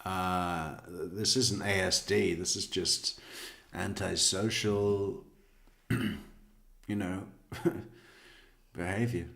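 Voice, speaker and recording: Brief: low-voiced, slow-talking male commentator, sardonic and mocking. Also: steady tone, creaky, a bit bright. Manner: sardonic, joking, swears. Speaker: true crime commentator. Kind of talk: casual commentary over an interrogation video.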